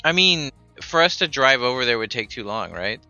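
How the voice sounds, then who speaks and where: Bright-voiced man, lo-fi mic. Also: mid-range voice, big pitch swings, normal speed, no echo, faint music behind. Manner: thinking aloud, hesitant. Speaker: player. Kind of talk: casual tabletop game chat. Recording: dull lo-fi mic.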